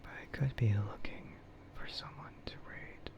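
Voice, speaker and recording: A man rambling casually and hesitantly in a soft, breathy ASMR whisper, as a streamer chatting close to the mic. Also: moderate pace, highs cut off.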